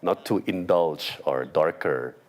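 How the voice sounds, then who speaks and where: Very deep-voiced American-accented man. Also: a little mellow. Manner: deliberate, clears throat, asks "right?" often. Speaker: panelist. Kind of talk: panel discussion remarks.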